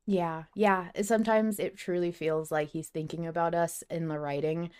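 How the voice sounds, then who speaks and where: A woman's voice: on the low side, steady tone, normal speed, darkish tone, clear, resonant tone. A woman hosting a podcast, talking casually and earnestly as a fan, open about her feelings. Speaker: podcast host.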